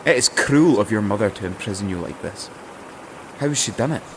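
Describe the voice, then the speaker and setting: A gallant, animated would-be king. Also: on the low side, a little fast, a little hiss or hum. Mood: earnest. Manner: gallant, flowery, formal speech. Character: bold, courtly young would-be king, flattering adventurer. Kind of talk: fantasy audio drama acting.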